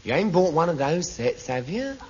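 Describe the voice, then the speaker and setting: An actor playing a cheeky, gloomy know-all neighbour with a London accent in a 1950s British radio sitcom. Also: male voice, a little high, big pitch swings, moderate pace, a crowd in the background. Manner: drawling, intrusive, pessimistic. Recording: muffled old radio, loud hiss, studio audience.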